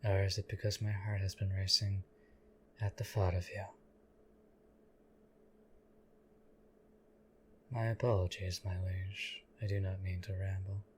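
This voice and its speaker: A stoic, devoted knight acted by a man speaks in a low, even monotone. His delivery is formal, measured and deadpan, as in an intimate audio drama addressed to his liege. Noticeable background noise sits behind the voice. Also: close to an everyday voice. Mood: stoic flattery. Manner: deadpan, formal, measured, archaic courtly phrasing. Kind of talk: scripted audio roleplay addressed to the listener.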